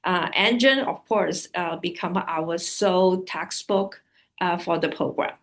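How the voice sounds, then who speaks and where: A woman who is not a native English speaker talks at an unhurried, slow pace. She pauses often with fillers and restarts as she describes her program in a webinar panel. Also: fairly low pitch, a bit bright. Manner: halting, many fillers and restarts. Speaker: adult ESL program administrator.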